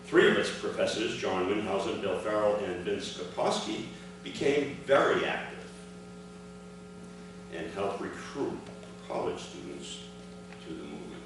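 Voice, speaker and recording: A man reading a prepared first-person account aloud to an audience, in measured, separated phrases. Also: on the low side, lively intonation. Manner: measured public delivery in short, separated phrases. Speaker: reader of his father's written memoir at a commemoration. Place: large echoey room at an event, recorded from afar. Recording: echoey room, distant microphone, clear background noise.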